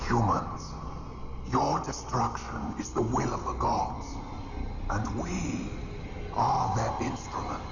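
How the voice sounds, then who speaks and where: A man voicing a menacing alien zealot who makes slow, solemn proclamations in an animated sci-fi drama. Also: medium pitch. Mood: solemn menace. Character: menacing alien zealot delivering a war message. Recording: echoey, dull, loud music behind.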